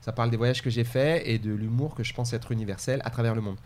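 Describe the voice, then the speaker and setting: Man, from France, comedian guest, quick chatter, lots of fillers, mid pitch, faint background noise. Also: steady tone, darkish tone. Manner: fast, enthusiastic, many fillers. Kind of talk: casual podcast chat.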